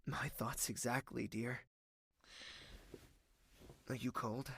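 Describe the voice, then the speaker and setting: A man's voice: mid-range voice, steady tone, slow pace, creaky, fairly bright tone, husky, no echo, heavily acted. A man playing a tender, secretive young prince with a formal, hesitant way of speaking in a romantic audio drama. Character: soft-spoken young prince in love, secretive and wistful. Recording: music under the voice.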